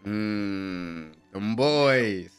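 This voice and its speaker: Highly animated young American man reacting. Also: very slow, faint music behind. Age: young adult. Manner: animated, slangy, exclamatory. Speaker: reaction video host. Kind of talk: excited live reaction commentary to anime. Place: small room at home. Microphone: close desk microphone with headphones.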